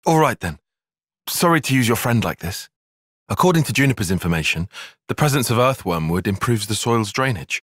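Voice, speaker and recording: Young British male game tactician: articulate, cautious, easily exasperated, expressive range, dry close recording. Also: mid-range voice, no echo. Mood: calm, reasoning. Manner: measured, formal, articulate, dry. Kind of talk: video game cutscene voice acting.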